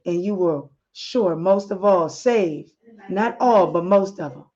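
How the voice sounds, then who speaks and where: Brief: woman playing a gentle husband, lowered voice, slow and soothing, muffled audio. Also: lightly acted. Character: calm, loving husband, adult man.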